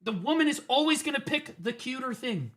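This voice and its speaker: A man's voice: very high voice, lively intonation, a little fast, a bit bright. A man streaming, reacting to clips with emphatic, profane, rambling commentary. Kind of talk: casual ranting commentary.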